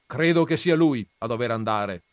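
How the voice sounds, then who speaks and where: A man reading aloud, voicing a stern old grandfather who questions his grandsons in a loud, commanding way. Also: medium pitch, expressive, normal speed, no room sound, clean studio sound, natural voice.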